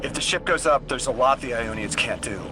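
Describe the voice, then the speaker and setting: Guy voicing worried wry game character, talks kinda hesitant n conversational, mid pitch, noisy rumbly background. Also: a little fast, natural voice. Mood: grave, conversational. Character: wry, worried adult man named Lodi, game character. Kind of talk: video game dialogue acting.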